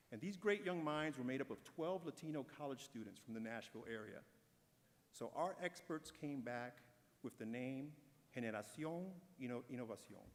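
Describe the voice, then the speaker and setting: A man delivers a measured, encouraging prepared speech at an awards ceremony. His voice sits at an ordinary pitch. A long reverb trails each phrase through the large hall. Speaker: corporate speaker at an awards ceremony.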